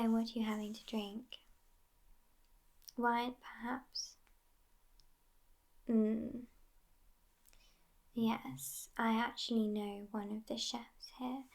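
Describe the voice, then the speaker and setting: A woman playing a sassy, aloof, youthful but centuries-old vampire girlfriend in a soft, near-whispered ASMR roleplay. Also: fairly high pitch, creaky, heavily acted. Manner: languid, aloof, hushed, often near whispering.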